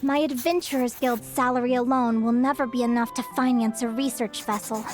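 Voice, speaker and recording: A woman voicing an excitable, sweet young female adventurer in a video game, expressive and girlish. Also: a little high, a little fast, natural voice. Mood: curious, musing. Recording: music behind the voice.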